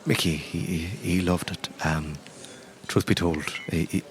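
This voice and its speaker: A man voicing a grieving, chatty old pub landlady of about ninety in a tabletop game, halting and rambling. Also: low-pitched, steady tone, voice pushed down. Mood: chatty, wistful.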